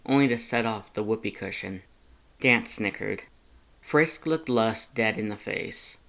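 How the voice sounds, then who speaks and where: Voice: medium pitch, fairly bright tone. A narrator reading a scripted fan fiction story and voicing its characters.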